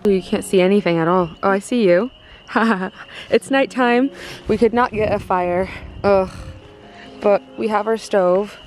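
A woman's voice: medium pitch, a little slow, darkish tone, faint music behind. A young woman chatting casually into a handheld camera on a camping trip, laughing often. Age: young adult. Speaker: vlogger. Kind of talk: casual vlog chat.

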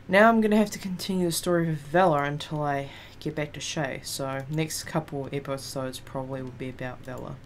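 A woman with a slightly raspy voice doing joking let's-play commentary over a game. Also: low-pitched, brisk, few pauses, a little hiss or hum. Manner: laughing, joking, swearing. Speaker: gaming youtuber.

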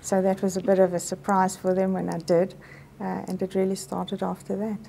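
Measured South African woman with a gravelly, mellow voice. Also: medium pitch, monotone, a little hiss or hum. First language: Afrikaans. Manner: thoughtful, measured, explanatory. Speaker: medical professor. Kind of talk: interview answers. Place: office interview set, indoors.

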